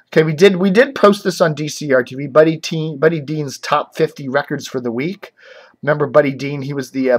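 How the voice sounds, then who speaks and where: Rambling, chatty man with a higher-pitched voice. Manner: rambling, chatty, breaks off and thinks aloud. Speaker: host of a local radio and TV news web show. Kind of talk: casual off-the-cuff commentary.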